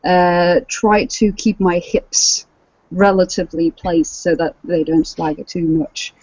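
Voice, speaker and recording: A woman's voice: on the low side, fairly even intonation, takes it slowly, darkish tone, no room sound. A woman co-hosting a casual podcast chat, explaining practical tips. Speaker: cosplayer and podcast co-host. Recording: dull lo-fi dry audio.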